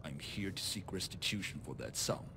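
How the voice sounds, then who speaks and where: An actor playing a cold, calculating nobleman in a video game, speaking in a formal, measured, condescending way. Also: deep voice, animated, creaky, background noise. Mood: stern, haughty. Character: calculating noble bastard son demanding repayment, cold and composed. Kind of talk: video game mod voice acting.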